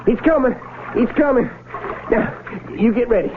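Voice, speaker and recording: High-voiced country lad, tinny radio. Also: male voice, expressive, normal speed. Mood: excited. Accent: American. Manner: rustic, folksy, exclamatory talk. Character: excitable folksy country youth, fishing with his friend. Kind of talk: 1970s radio drama acting. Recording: phone-like lo-fi old radio, loud hiss.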